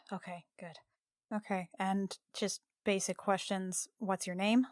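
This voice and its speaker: A woman voicing a worried, brisk woman who fusses over her injured boyfriend with clinical questions in a tabletop game. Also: mid-range voice, flat delivery, no room sound, studio quality, natural voice. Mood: focused, careful.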